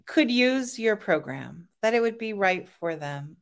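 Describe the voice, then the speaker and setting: A woman's voice: medium pitch, animated, measured pace, gravelly. A woman hosting a podcast who asks curious, thoughtful questions with plenty of "um"s. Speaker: podcast host. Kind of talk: interview.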